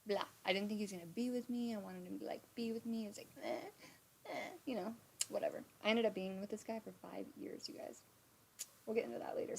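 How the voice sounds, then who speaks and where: A woman's voice: medium pitch, steady tone, fast talker, creaky, a little mellow, slight background noise. A woman in her thirties from Montana, telling a story on a live stream in a rambling, chatty way, full of fillers, restarts and casual swearing. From Montana, USA. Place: home, indoors. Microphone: phone or webcam on a live stream.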